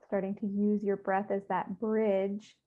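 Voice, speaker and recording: A woman with a mid-pitched voice guides a meditation through narrow, phone-like audio. She speaks gently and evenly, leaving pauses between soft instructions. Her delivery is soothing and unhurried, with little rise and fall. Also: little pitch movement.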